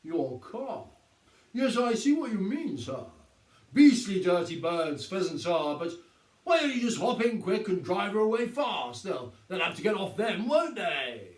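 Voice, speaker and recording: A man reading a storybook and voicing a sly, commanding village police sergeant with a booming, bossy delivery. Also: sing-song, a little slow, roomy sound, distant mic.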